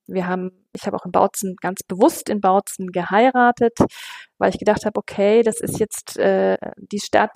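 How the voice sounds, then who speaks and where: A woman's voice: medium pitch, gravelly, a little mellow, dry recording. A woman in her fifties from western Germany, speaking as an interview guest in a thoughtful, conversational way and searching for her wording. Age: 50s. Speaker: interview guest, local politician.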